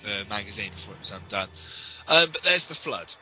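Bright, forceful British male podcast host. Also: on the low side, lively intonation, quick pace, slight background noise, rough recording. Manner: detailed, explanatory, enthusiastic. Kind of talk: enthusiastic informal podcast commentary.

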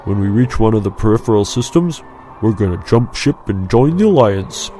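A man's voice: fairly low pitch, expressive, normal speed, warm tone, faint music behind, lightly acted. Man playing a confident, knowing young pilot friend. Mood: solemn, confiding. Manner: solemn, knowing, emphatic. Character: easygoing, smug older friend, confiding young male pilot.

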